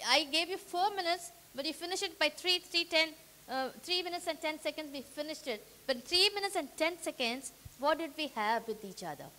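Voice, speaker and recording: A woman leading an interactive training session in a meeting hall. She rallies the audience with energetic questions. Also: high-pitched, fairly quick. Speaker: workshop trainer.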